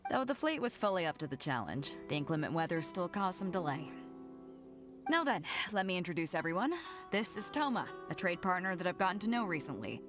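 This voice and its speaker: A woman's voice: fairly low pitch, sing-song, fairly quick, over music. An actress playing a bold, confident female ship captain in a video game, assured, hearty and jaunty.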